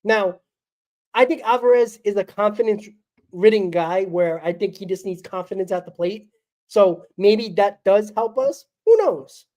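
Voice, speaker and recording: A woman's voice: mid-range voice, expressive, a little slow, mellow. Sick, crackling-voiced woman ranting blunt. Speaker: postgame show host. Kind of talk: solo fan postgame rant.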